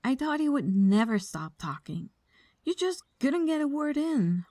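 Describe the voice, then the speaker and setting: A woman playing an exasperated, bossy mafia boss in an ASMR audio roleplay, soft-spoken and unhurried. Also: high-pitched, big pitch swings, dry recording. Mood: relaxed, businesslike.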